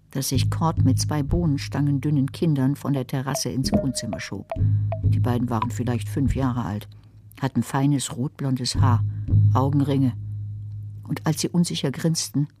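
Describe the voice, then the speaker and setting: Wry, anxious narrator over music. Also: female voice, a bass voice. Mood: wry, uneasy. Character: anxious, wry female first-person narrator. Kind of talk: literary narration in a German radio drama.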